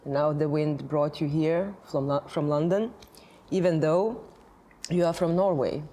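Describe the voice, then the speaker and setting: A woman's voice: low-pitched, measured pace, dark tone. A woman interviewing an artist, searching for words as she asks questions.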